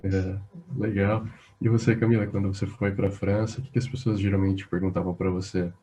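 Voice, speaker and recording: Brazilian man host, teasing and jokey, low mellow voice. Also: level tone. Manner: jokey, teasing, quick to laugh. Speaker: interview host. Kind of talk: casual interview chat.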